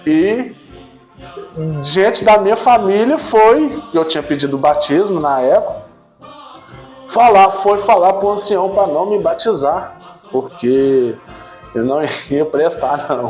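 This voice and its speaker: A man in his thirties giving a casual personal church testimony, animated at times and subdued at others, often tagging his sentences with a questioning "né". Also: fairly high pitch, normal speed, soft background music. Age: 30s. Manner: animated storytelling, frequent "né?" tags, swings between excited and subdued.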